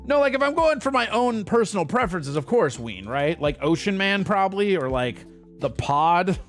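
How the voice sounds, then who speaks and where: Male American stream host, teasing and deadpan-sarcastic. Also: high-pitched, moderate pace, faint music behind. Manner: teasing, sarcastic, lots of sighs and groans. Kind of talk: casual comedic banter.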